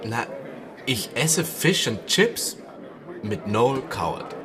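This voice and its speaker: A young actor in a radio play voicing an ambitious young stage actor who talks hesitantly and gossips slyly. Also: male voice, mid-range voice, very animated, slow pace, crowd noise, noisy background. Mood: cagey, gossiping. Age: young adult.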